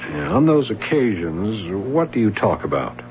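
An actor playing a patient police detective sergeant in a 1970s radio drama, with halting, businesslike questioning. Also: male voice, lowish voice, sing-song, vocal fry. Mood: earnest, insistent. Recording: dull, noisy vintage radio broadcast.

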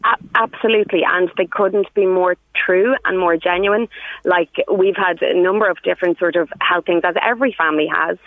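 A woman's voice: fairly low pitch, steady tone, a little fast, dry recording. An effusive, earnest woman calling in to a radio show on a tinny phone line. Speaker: caller, patient. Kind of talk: casual radio phone-in testimonial.

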